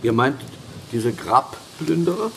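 Radio play sidekick: curious plain-spoken man, lively, creaky voice, clear background noise. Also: mid-range voice, slow, full character voice. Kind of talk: audio drama dialogue.

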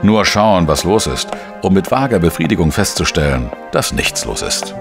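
Wry journalist narrator, deep pitch, dry delivery, world-weary, radio crime drama. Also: male voice, big pitch swings, moderate pace, creaky, music in the background, full character voice. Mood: wry, reflective. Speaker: narrator and lead actor. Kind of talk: scripted first-person crime narration.

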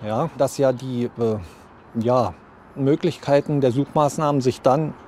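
A middle-aged man, a police spokesman, recalling events haltingly in an interview recorded outdoors. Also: medium pitch, a little mellow. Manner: halting, hesitant. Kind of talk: interview recollection. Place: outdoors by a building. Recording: faint outdoor street noise.

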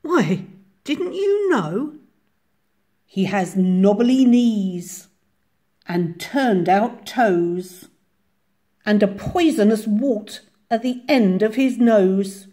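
A woman's voice: mid-range voice, lively intonation, drawn-out words, warm tone, voice pushed up. A woman voicing a small, cunning, brave storybook mouse who speaks with bold emphasis. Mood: breezy, boasting.

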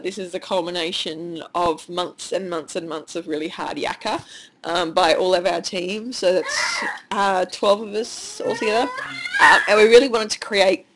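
Australian woman with a cold. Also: medium pitch. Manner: chatty and excited, rambling with many fillers. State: has a cold, nearly lost voice. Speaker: online course creator. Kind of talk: casual screen-share walkthrough. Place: at home with children nearby.

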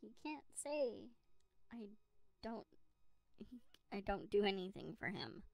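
A woman acting a devoted young girlfriend in an ASMR roleplay, murmuring to herself with hesitant pauses on a close microphone. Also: medium pitch, animated, vocal fry, darkish tone, no room sound. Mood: dismayed, self-pitying. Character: devoted young girlfriend, eager then self-pitying in rain. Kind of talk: slow ASMR roleplay monologue. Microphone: close USB condenser microphone.

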